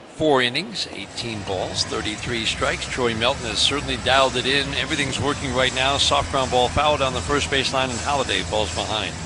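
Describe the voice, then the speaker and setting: American male radio baseball announcer, medium register, live play-by-play, noticeable ballpark ambience behind. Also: mid-range voice. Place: stadium broadcast booth. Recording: clear outdoor ballpark ambience noise.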